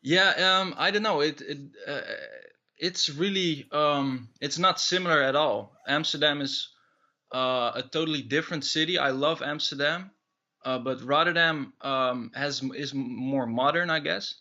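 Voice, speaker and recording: Dutch-accented male musician, thirties, bright edgy voice, hesitant, muffled remote line. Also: a little high, unhurried. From Netherlands. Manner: hesitant, many fillers and restarts. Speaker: musician guest. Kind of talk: casual interview answers.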